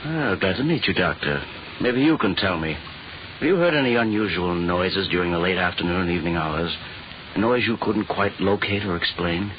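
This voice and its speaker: An actor playing a driven, dogged detective in an old-time radio thriller. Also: male voice, sits low, lively intonation, moderate pace. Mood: guarded, casual. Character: driven, dogged male detective seeking a secret. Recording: muffled, noisy vintage radio recording.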